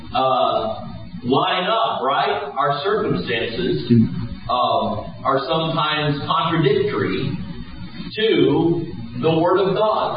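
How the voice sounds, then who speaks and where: A Southern man preaches with a lilting, sing-song cadence in a slightly higher voice, pausing often for amens. He sounds far from the mic, and the sound is muffled and dull. His words ring around a big, echoey church. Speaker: pastor. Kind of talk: sermon.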